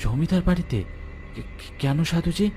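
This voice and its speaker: Male actor as nervous young village man, fairly high voice, hesitant, stammering, respectful, horror cartoon, music behind. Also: animated, normal speed. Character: anxious young village man seeking a holy man's help. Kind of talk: animated horror story character acting. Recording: music under the voice.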